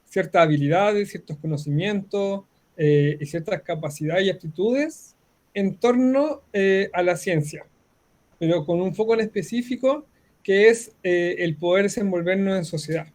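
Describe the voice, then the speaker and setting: A Chilean man in his thirties, a science educator, explaining his views clearly as an interview guest. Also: high-pitched, unhurried, a little mellow. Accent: Chilean Spanish. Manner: articulate, explanatory. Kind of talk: interview answer.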